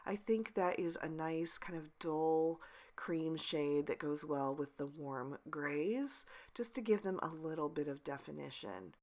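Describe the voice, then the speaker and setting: Hoarse woman, nearly whispering. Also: deep voice, measured pace. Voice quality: breathy, near-whispered. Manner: pushes to speak as loudly as she can, steady instructional tone. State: hoarse, losing her voice. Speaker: craft channel host. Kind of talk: voice-over narrating a card-coloring tutorial.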